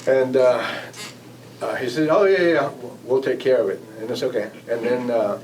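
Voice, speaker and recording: An American man giving an informal spoken recollection as a guest speaker, in a halting, conversational manner. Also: mid-range voice, a little mellow. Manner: halting, conversational, acting out quoted exchanges. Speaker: guest speaker, air traffic manager. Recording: distant mic, noisy room ambience.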